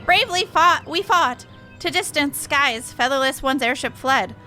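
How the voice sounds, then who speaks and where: A woman voicing an excitable bird-beastman from a video game, speaking in inverted sentences punctuated by squawks. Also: high-pitched, unhurried, faint music behind, exaggerated voice. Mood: proud, fierce. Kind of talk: game dialogue read aloud in character.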